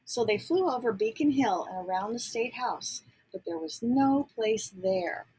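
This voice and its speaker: A woman reading a children's storybook aloud expressively, pausing to add simple explanatory asides. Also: a little high, sing-song, warm tone, slight background noise, poor audio. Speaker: storybook reader.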